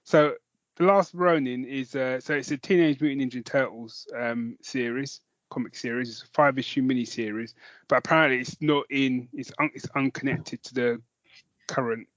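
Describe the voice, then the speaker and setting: A British man talks casually as a podcast co-host at a middling pitch. He rambles and restarts his sentences often. The recording is dry and a little dull. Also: steady tone, moderate pace, fairly bright tone, no echo. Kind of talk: casual chat about films and comics.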